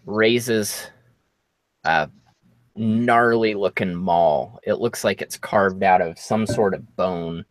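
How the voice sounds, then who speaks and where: A man running a tabletop game, improvising narration as game master in a halting, drawn-out way. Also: on the low side, no room sound. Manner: halting, drawn-out storytelling delivery.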